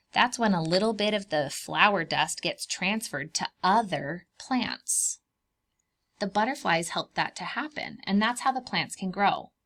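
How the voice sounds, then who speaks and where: A woman hosting a read-aloud lesson for preschoolers, explaining things simply and expressively and putting questions to her young listeners. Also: mid-range voice. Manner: expressive, emphasizing key words for small children. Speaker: children's read-aloud host and preschool teacher.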